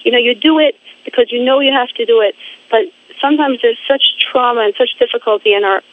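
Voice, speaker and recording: A woman speaking as a guest in a phone interview, halting and repeating words while she searches for her phrasing. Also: on the high side. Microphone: phone line. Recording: tinny phone line.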